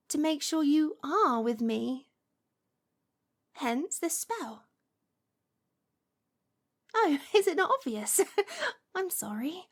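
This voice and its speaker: Woman acting a high-voiced, lovesick yandere witch. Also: full character voice. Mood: obsessive, confiding, then gleeful, doting. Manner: breathy whispers mixed with giggles, sighs and cooing. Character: lovesick possessive yandere witch, sweetly menacing, coaxing. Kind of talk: ASMR roleplay audio drama.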